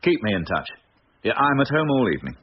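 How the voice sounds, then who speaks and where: An actor playing a calm senior police officer in a radio drama, measured and wryly formal. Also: male voice, mid-range voice, natural voice. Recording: muffled radio drama, faint noise.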